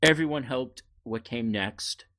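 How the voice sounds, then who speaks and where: A middle-aged man with a mid-pitched voice talks casually as a podcast host. He tells stories conversationally, slipping into quoted dialogue as he goes. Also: a little slow, sharp tone.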